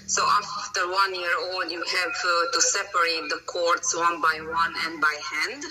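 Halting woman. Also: lowish voice, level tone, bright, forceful tone, recorded from a distance, faint music behind, poor audio. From Romania. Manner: halting, many pauses and fillers, non-native grammar. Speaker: dog breeder, guest. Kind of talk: interview answers.

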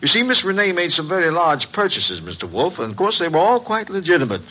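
A man's voice: on the high side, wildly swooping pitch, fast talker, bright, forceful tone, full character voice, poor audio. An actor playing a fussy, courteous businessman in an old radio mystery, hesitant and flustered in his politeness. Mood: nervous, defensive.

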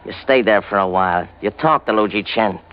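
An actor playing a surly, menacing gangster with curt, slangy tough talk in old radio noir style. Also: male voice, on the low side, a little fast, natural voice. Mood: irritated menace. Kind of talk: 1940s-50s radio noir acting. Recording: muffled old radio recording.

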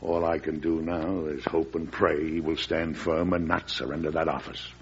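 An actor playing a beleaguered, resolute middle-aged American president in a classic radio drama, speaking formally and earnestly with an American accent. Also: male voice, rumbling low, level tone, normal speed, slightly rough, full character voice. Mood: grave, hopeful. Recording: muffled old radio recording.

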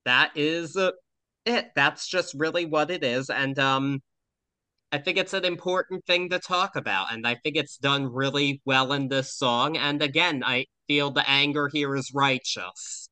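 Bright-voiced man co-hosting a podcast. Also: on the high side, unhurried, clear, resonant tone, no room sound. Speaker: podcast co-host. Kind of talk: casual music discussion.

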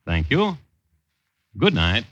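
A man's voice: on the low side, huge pitch swings, fairly bright tone, husky, no echo, exaggerated voice. A man playing a dry, precise crime-story chronicler and host, narrating formally and wryly in 1950s radio style. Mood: wry, courteous. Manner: measured, wry, formal storytelling. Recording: dull old radio recording.

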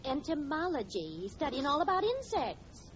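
Sensible middle-aged mother, reproving, very lively intonation; muffled vintage radio sitcom. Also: high-pitched, moderate pace. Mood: reasoning. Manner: reasoning, scolding. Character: sensible, exasperated housewife and mother, defending young love. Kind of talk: 1940s radio sitcom acting. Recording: muffled old radio recording, loud noise.

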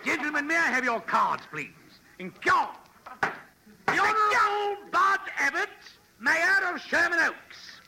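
An actor playing a haughty, formal butler who announces party guests pompously in an old radio comedy. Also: male voice, very high voice, very animated, unhurried, creaky, full character voice. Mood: grandly announcing. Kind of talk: 1940s radio comedy acting. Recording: noisy old radio recording.

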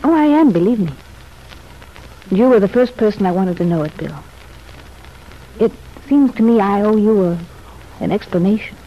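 An actress playing a contrite, earnest young ex-wife in an old-time radio soap opera, speaking in a confiding, measured way. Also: female voice, mid-range voice, animated, dull audio, natural voice. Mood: earnest, apologetic. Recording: noisy, band-limited vintage radio recording.

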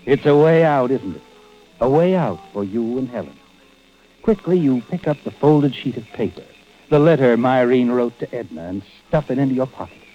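A man's voice: mid-range voice, lively intonation, moderate pace, dark tone, lightly acted. An actor playing a sly, knowing narrator of a vintage radio suspense show, insinuating as he addresses a guilty man. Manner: insinuating, taunting rhetorical questions. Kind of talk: 1940s-50s radio suspense narration in second person. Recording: dull vintage radio recording with music.

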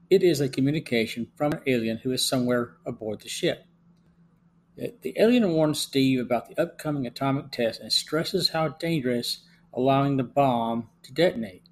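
A man hosts a podcast with a scripted review. His voice sits at an average pitch, and he narrates in the manner of someone reading prepared notes. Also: a little hiss or hum. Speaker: podcast host.

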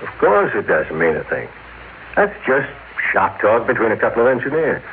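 An actor playing a stern, self-righteous company boss and brother in a vintage radio drama, speaking in an emphatic, moralizing way. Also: male voice, mid-range voice, wildly swooping pitch, moderate pace, narrow, tinny audio. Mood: cautious. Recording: thin vintage radio sound, faint noise.

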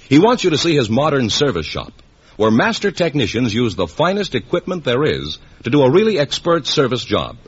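A man's voice: mid-range voice, breathy edge. An American man reading a scripted 1950s radio advertisement. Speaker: commercial announcer. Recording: muffled old radio recording.

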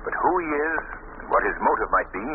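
An actor playing a composed gentleman sleuth with measured, formal delivery in an old radio drama. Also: medium pitch, lively intonation, natural voice. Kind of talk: 1940s radio acting. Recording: tinny, noisy old radio recording.

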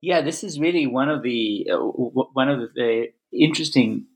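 This interviewer speaks haltingly, stumbling and restarting as he works toward a question about a book. His pitch sits in an ordinary middle range. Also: breathy edge. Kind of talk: interview conversation.

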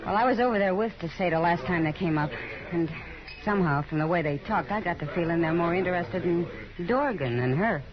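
A woman's voice: on the low side, lively intonation, a little fast, crowd noise, natural voice. A woman playing a worldly, protective frontier saloon woman, frank and confiding, in a 1950s radio Western. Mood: concerned, confiding. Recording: muffled old radio, saloon music behind.

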